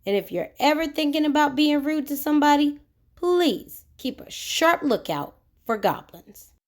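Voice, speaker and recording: A woman reads a children's storybook aloud in a fairly high voice. Her pitch swings widely as she narrates. She is expressive and speaks directly to her young listeners, often with questions. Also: moderate pace.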